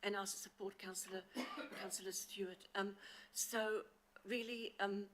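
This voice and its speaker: A woman's voice: mid-range voice, steady tone, a bit bright, a little hiss or hum, rough recording. Earnest woman with a non-local accent. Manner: earnest, halting, many fillers. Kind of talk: formal presentation to councillors. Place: council meeting chamber. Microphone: handheld microphone.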